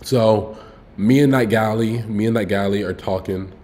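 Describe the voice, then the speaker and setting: A young man in his twenties with a fairly low voice, chatting loosely to his stream audience. He rambles through a story in slangy, relaxed talk, stopping to correct himself and dropping "bro" along the way. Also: moderate pace. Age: 20s. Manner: laid-back, frequent "bro" asides. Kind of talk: casual storytelling to viewers.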